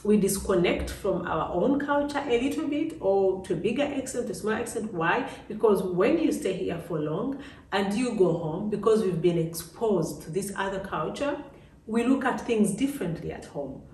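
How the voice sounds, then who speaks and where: A woman's voice: mid-range voice, animated, moderate pace, darkish tone. A middle-aged woman with a Ugandan accent talks reflectively and directly to her viewers in a personal vlog, stressing points through repetition. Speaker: vlogger, host. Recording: echoey room, distant microphone.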